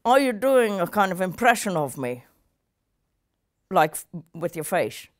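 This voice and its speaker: A woman's voice: fairly low pitch, sing-song, a little slow. A woman ventriloquist voicing a cheeky, stubborn monkey puppet who argues bluntly and swears in improvised comedy. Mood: bossy, curious.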